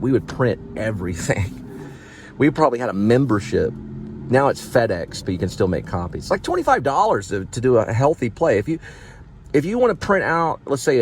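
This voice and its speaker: A middle-aged man with a fairly low voice talks casually, as if to his own vlog viewers. He rambles through memories, stopping and restarting as he hunts for the right word. Faint ambient noise sits behind him. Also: a little mellow. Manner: halting, reminiscing, searching for words. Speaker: video show host, actor and playwright.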